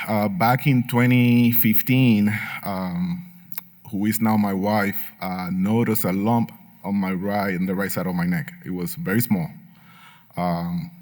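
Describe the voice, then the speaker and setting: A man's voice: fairly low pitch, fairly even intonation, a little slow, a little mellow. A middle-aged man from Puerto Rico with a Puerto Rican Spanish accent, giving an earnest talk at a medical conference with frequent fillers and light humor. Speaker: patient speaker at a medical symposium.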